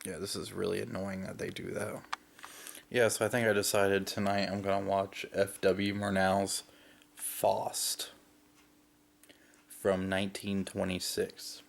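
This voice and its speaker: A young man talks through a casual vlog while under the weather, rambling and pausing on lots of fillers. His voice sits very low and flat, with a gravelly rasp. He speaks slowly and unhurriedly. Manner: rambling, hesitant with many fillers. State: sick, recovering from lost voice. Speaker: vlogger.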